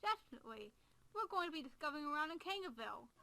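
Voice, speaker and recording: A woman voicing a rapid, chirpy cartoon critter. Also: sits high, sing-song, pushed and bright, no room sound, full character voice.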